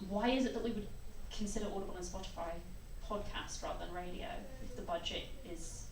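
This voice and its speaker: A woman's voice: mid-range voice, a little fast. A woman moderating a panel, casual and self-correcting as she poses questions. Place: live convention panel room. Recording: echoey room, distant mic, faint noise.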